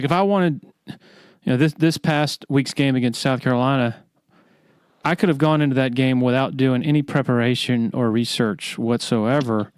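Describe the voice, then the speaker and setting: A man hosting a podcast, chatting casually with halting, conversational phrasing. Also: medium pitch, clean tone, no room sound, clean studio sound. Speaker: podcast host, sportswriter. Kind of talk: casual interview chat.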